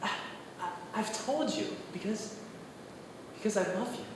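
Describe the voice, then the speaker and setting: A man's voice: high-pitched, measured pace. An actor playing an awkward man in love who stammers and hesitates, heard distant in a roomy echo with background noise. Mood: hesitant. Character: awkward man in love, writer of her program. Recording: distant, echoey, noisy.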